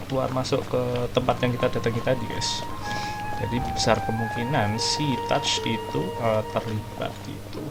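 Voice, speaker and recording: A young man with an Indonesian accent, rambling chattily as he recaps a game story for viewers. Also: mid-range voice. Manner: rambling, chatty, many fillers. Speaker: gaming streamer. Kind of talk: casual let's-play commentary. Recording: voice over loud game music.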